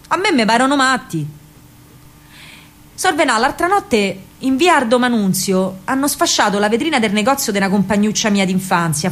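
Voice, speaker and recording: An actress playing a spirited, indignant working-class girl with a Roman accent, telling her memories in an expressive, colloquial theatrical monologue. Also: a little high, lightly acted. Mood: bitter, dismayed. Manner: colloquial, emphatic, expressive storytelling. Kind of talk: theatrical first-person monologue in dialect.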